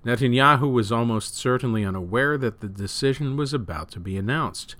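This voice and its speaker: A man reading news and opinion articles aloud as a host, with measured, emphatic phrasing that falls away at sentence ends. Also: medium pitch, fairly bright tone, no echo. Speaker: news reader and show host.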